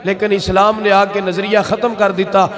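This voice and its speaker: High-pitched Punjabi preacher, man with crowd behind. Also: flat delivery, fairly quick. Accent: Pakistani Punjabi. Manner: emphatic, rhetorical repetition and questions. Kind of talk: sermon.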